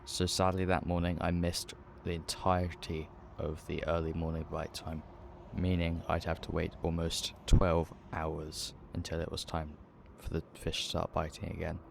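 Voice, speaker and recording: Casting: British male angler, lowish voice, casual vlog delivery with some background noise. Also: completely flat delivery, normal speed, vocal fry, few pauses. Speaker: angler and vlogger.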